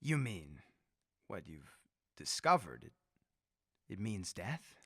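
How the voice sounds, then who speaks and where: A man's voice: sits low, very animated, slow, full character voice. A man playing a dying old tyrant leader in a radio drama, ranting, imperious and threatening. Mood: imperious, probing. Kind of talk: 1930s-style radio drama acting.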